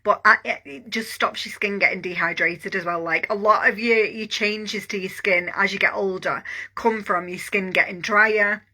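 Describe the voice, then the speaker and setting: Bright, chatty woman, stuffy with a cold. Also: medium pitch, steady tone, moderate pace. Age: 40s. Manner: chatty, enthusiastic, rambling with fillers. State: congested with a cold and sore throat. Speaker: online business coach. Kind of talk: casual vlog product pitch.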